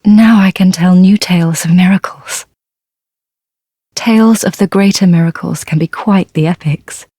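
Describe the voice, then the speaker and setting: An actress playing a gentle, devout and fearful blind young nun in a dark fantasy game, speaking softly and humbly in a breathy, whispery voice. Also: female voice, on the low side, no room sound, clean studio sound. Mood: earnest, hesitant. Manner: halting, humble, formal, reverent.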